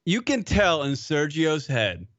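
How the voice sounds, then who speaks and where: A man's voice: on the high side, wildly swooping pitch, measured pace, fairly bright tone, no room sound. Profane joking man on a lo-fi mic. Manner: profane, mocking, acts out impressions. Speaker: radio show co-host. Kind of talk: crude casual banter.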